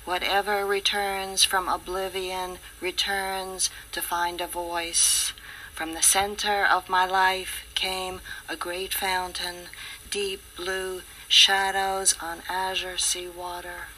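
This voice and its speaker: A woman reciting a poem in a slow, measured way. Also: fairly low pitch, little pitch movement, sharp tone, slight background noise, low-quality recording. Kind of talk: poetry reading.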